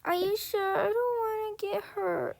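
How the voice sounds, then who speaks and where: A woman's voice: very high voice, steady tone, unhurried, gravelly, a crowd in the background, voice pushed up, heavily acted. A woman voicing a frightened, whiny young child in a pretend-play storm story, with faint noise behind. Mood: anxious whining.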